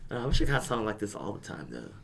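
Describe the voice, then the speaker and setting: A young man hosting a casual podcast, hoarse from losing his voice, with laid-back, self-deprecating banter. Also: fairly low pitch, sing-song, very fast, a bit bright. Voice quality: raspy. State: hoarse, lost voice. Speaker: podcast host.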